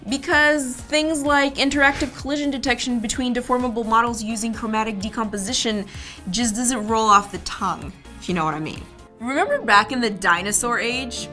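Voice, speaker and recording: A woman's voice: fairly high pitch, sharp tone. A woman hosting an online video show, presenting scripted commentary with wry, playful asides. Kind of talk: scripted, jokey presenting about animation videos.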